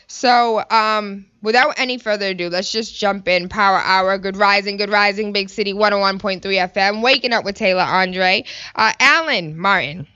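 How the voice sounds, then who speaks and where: A woman hosting a morning radio show, interviewing a guest with emphatic, drawn-out phrasing. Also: medium pitch, pushed and bright, clean tone, dry recording, dull audio.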